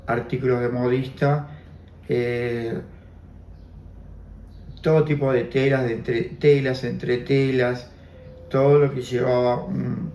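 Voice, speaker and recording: An older man in his seventies speaking Spanish with an Argentine accent, Armenian being his first tongue. He talks slowly and reflectively, circling back over his own phrases, in a mellow, slightly raised voice. The room around him is echoey. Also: mid-range voice, slight background noise. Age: 70s. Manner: slow, reflective, repeats phrases. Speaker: interviewee. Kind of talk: oral history testimony.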